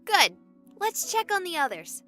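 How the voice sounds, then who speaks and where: A high, animated female voice plays a stubborn young streamer in a horror visual novel. She is sarcastic and emphatic, with music running underneath. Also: unhurried, heavily acted. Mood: stubborn, jumpy. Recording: music behind the voice.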